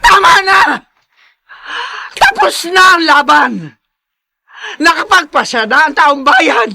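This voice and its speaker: A woman's voice: sits high, wildly swooping pitch, slow pace, vocal fry, bright, forceful tone, no room sound, studio quality, full character voice. A woman acting in a radio drama as a distraught, scolding middle-aged mother, pleading and emotional.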